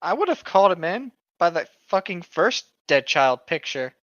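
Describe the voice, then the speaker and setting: Muffled, dry lo-fi sound; male co-host, expressive, flippant and sweary, casual joking chat. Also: high voice, moderate pace, dry recording. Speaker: podcast co-host. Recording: dull lo-fi voice track.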